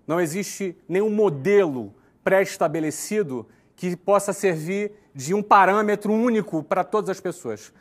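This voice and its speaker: A man in his forties from Brazil, explaining his advice in an earnest, measured talk to the viewer. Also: high-pitched. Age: 40s. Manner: earnest, explanatory, uses tag questions. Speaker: entrepreneur giving advice. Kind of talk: talking-head lesson to camera.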